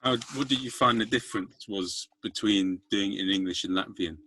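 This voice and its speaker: A man hosts a casual podcast conversation, asking curious follow-up questions of his guests. His voice is fairly low and husky. He speaks in an easy, conversational way. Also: a little fast, pushed and bright. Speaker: podcast host. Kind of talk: casual interview chat.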